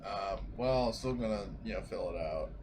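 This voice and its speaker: A man's voice: on the low side, expressive, normal speed, darkish tone, a little hiss or hum. A man chatting casually as a player in a tabletop game, informal and a bit sweary. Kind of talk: casual chat.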